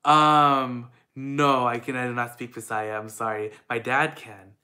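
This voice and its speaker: A man's voice: mid-range voice, flat delivery, unhurried, pushed and bright. A young man from the Philippines chatting casually at home, answering viewer questions in an upbeat way with lots of fillers. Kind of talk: casual Q&A chat.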